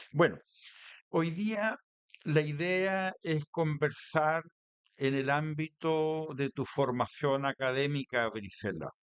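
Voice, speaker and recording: A man with a Chilean accent hosting a radio interview in measured, deliberate phrases. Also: medium pitch, clear, resonant tone, no echo. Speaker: radio host. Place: radio studio.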